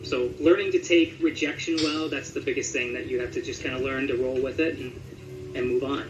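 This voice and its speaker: A man's voice: mid-range voice, steady tone, fairly quick, lo-fi sound, noisy background. A man giving a casual interview as a voice actor, talking conversationally and thinking aloud with some hesitation. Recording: roomy echo with music underneath.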